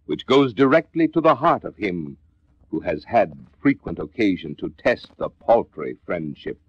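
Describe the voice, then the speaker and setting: An actor playing a brooding male narrator confessing a Gothic horror tale, in a theatrical, deliberate 1950s dramatic reading with formal diction, on a vintage record. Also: deep voice, breathy edge, dry recording, exaggerated voice. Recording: dull vintage 1950s spoken-word record.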